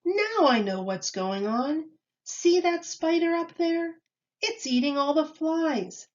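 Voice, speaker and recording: A woman's voice: high voice, lively intonation, slow pace, muffled recording, voice pushed up. A woman voicing an eager, earnest male zoo assistant in a children's storybook. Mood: excited.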